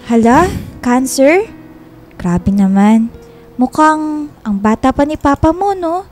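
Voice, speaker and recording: Actress as a chatty, easily delighted young Filipino woman for radio romance, high-pitched, with soft music underneath. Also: lively intonation, slow pace. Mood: sympathetic. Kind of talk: radio drama acting.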